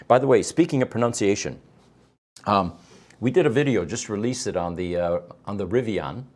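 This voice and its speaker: A middle-aged man whose first language is German, hosting a casual morning show from a studio, halting with many ums. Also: lowish voice, lively intonation, darkish tone. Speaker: show host. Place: studio set in front of a backdrop.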